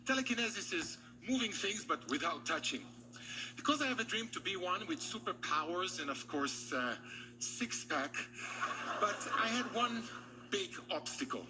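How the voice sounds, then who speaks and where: A man from Israel doing magician's stage patter, his voice pitched high for a man. The clip has clear background noise. He talks to the crowd and to his guest on stage. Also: lively intonation, normal speed.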